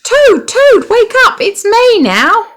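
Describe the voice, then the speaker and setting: Storybook frog, played by a woman: high, swooping, cheerful, insistent best friend, excitedly coaxing. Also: takes it slowly, heavily acted.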